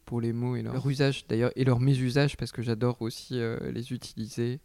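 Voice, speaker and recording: A man's voice: mid-range voice, little pitch movement, brisk, vocal fry, warm tone, no room sound. A man speaking as a textile artist in an interview, giving reflective answers and often hesitating while he searches for words.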